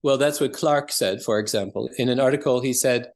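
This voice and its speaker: A man with a medium-pitched voice talks as an interview guest. He explains in an earnest, conversational way, like a practitioner sharing his field. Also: a little fast.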